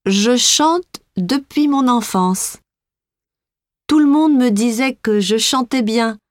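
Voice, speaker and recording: An actress voicing a young female Belgian pop singer being interviewed, speaking slowly and clearly in a scripted exam dialogue. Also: fairly high pitch, no room sound, clean studio sound. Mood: friendly. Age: young adult. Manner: slow, clear, carefully articulated.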